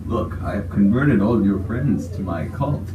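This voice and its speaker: A man's voice: lowish voice, expressive, a little slow, phone-quality sound, background noise. Man doing a goofy, pompous villain, roomy distant mic. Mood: mock-pompous scheming. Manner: mock-pompous, rambling, silly. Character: goofy scheming male villain, mock-pompous cult-leading mad genius. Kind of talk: improvised comedy fandub of a cartoon.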